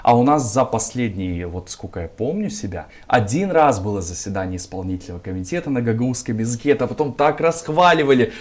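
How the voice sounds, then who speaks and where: A young man from Gagauzia, a civic activist, answering interview questions in a measured, argumentative way with lots of filler words. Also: medium pitch, expressive, darkish tone. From Gagauzia, Moldova.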